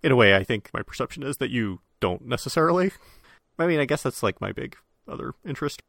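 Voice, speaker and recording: A man's voice: mid-range voice, animated, quick pace, creaky, fairly bright tone, no echo, clean studio sound. A man co-hosting a podcast, chatting offhandedly with lots of fillers. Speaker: podcast co-host. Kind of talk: casual chat.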